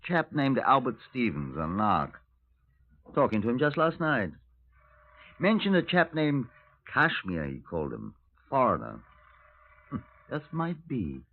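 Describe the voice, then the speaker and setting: A brisk British superintendent in an old radio detective drama, with a mid-pitched, very animated delivery. He is dry and commanding, heard through a narrow, faintly hissy vintage recording. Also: slightly rough, exaggerated voice. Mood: brisk, urgent. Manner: authoritative, dry. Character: brisk, wry male Scotland Yard superintendent. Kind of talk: 1950s radio drama acting with narration.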